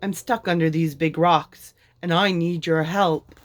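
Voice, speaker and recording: A huge, kindly storybook dragon, voiced by a woman reading aloud. He speaks gently and deliberately, giving careful instructions in measured phrases. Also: on the low side, steady tone, lightly acted. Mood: gentle, instructing. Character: kindly gigantic male dragon.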